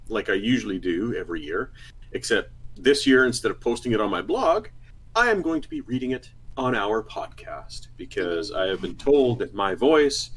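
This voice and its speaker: A man's voice: on the low side, a bit bright, rough recording. A man co-hosting a podcast over remote voice chat, in a joking, playful casual chat. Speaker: podcast co-host.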